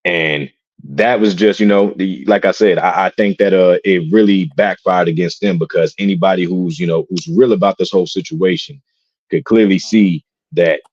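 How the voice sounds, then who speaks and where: Deep-voiced man on a dull, dry mic. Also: darkish tone, no echo. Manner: halting, with many restarts and fillers. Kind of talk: casual talk show discussion.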